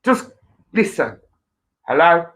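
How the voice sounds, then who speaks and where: A woman's voice: fairly low pitch, very animated, takes it slowly. A woman hosting a casual live chat show, with a playful, bantering and teasing manner. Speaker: talk show host.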